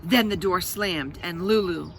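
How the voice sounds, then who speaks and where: A woman reading a children's story aloud with expressive, dramatic emphasis and chatty asides to her listeners. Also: lowish voice, unhurried, slight background noise.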